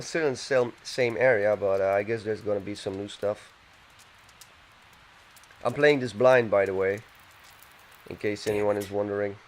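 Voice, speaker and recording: A man narrating his own gameplay in a relaxed, chatty way, thinking out loud as he goes. His voice sits a little low. A clear layer of background noise runs under the recording. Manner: thinking aloud, chatty, reads game text aloud. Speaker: let's play gamer. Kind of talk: live game commentary.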